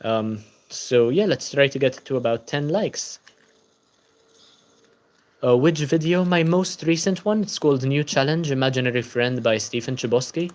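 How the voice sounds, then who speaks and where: A man's voice: mid-range voice, lively intonation, a little slow. A tired young man hosting a casual book-channel livestream, chatting and answering viewer comments.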